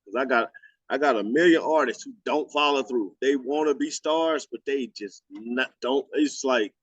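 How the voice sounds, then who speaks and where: A man's voice: medium pitch, normal speed, husky, no echo, highs cut off. A man in his forties hosting a radio show, chatting loosely, joking and swearing freely. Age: 40s. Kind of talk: casual interview chat.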